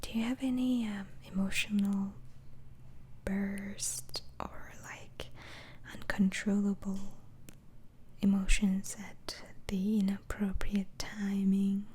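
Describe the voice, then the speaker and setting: A woman plays a gentle female doctor interviewing a patient in a whispered ASMR roleplay. The whisper is soft, mellow and unhurried, with hesitant, murmured follow-ups. A faint noise sits behind the voice. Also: medium pitch, flat delivery, close to an everyday voice. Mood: soft, inquisitive. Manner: halting, hesitant, murmuring acknowledgements.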